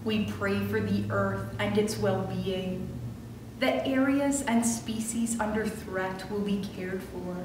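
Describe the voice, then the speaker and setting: A middle-aged woman minister leading a scripted prayer in a measured, solemn way, phrase by phrase, from a church pulpit. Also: medium pitch. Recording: roomy distant church recording with clear noise.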